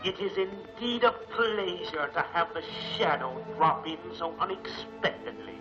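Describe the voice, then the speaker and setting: An imperious crime mastermind from a 1940s movie serial. The man's high voice is slow, ominous and grandly formal. The old soundtrack is muffled, with music underneath. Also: expressive. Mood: gloating menace. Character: menacing male masked crime lord, gloating and imperious.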